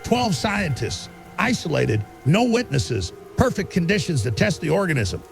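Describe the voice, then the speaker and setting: An actor playing an agitated, paranoid conspiracy broadcaster, delivering an urgent, insistent monologue full of rhetorical emphasis in an audio drama. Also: male voice, fairly high pitch, sing-song, moderate pace, gravelly. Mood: ominous, insistent. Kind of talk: ranting conspiracy talk show monologue. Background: music.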